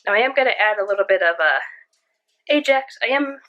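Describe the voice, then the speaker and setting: A woman's voice: medium pitch, animated, fairly quick, highs cut off, noisy background. Woman with a stuffy cold voice. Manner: chatty, rambling, frequent restarts. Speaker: cleaning video host. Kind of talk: casual product test vlog. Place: home kitchen.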